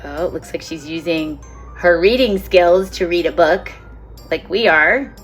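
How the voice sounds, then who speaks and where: A woman teacher reads a picture book aloud to young children, stopping often to ask questions and chuckle along. She speaks very slowly in a fairly low voice. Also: husky, faint music behind, rough recording. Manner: chatty, asks the children questions and laughs along.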